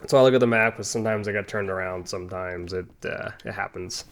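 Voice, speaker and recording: Chatty man with a lowish voice. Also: steady tone, fast, a little hiss or hum. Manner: chatty, rambling, playful asides. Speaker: video game playthrough host. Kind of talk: casual gameplay commentary with tangents.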